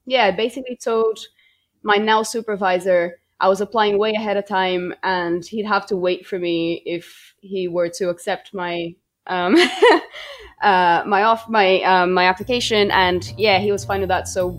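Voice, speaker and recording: A woman's voice: mid-range voice, unhurried. A young woman from Brazil, a native Portuguese speaker, talking casually about her research as a podcast guest, with frequent ums and restarts. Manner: chatty, many ums and restarts. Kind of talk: podcast interview, casual storytelling.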